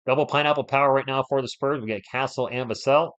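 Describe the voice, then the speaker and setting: A man's voice: medium pitch, steady tone, quick pace, a bit bright, lo-fi sound. A man who is a bit under the weather hosts a live sports stream, giving casual fan play-by-play and chatting with viewers by name in a conversational, playful way.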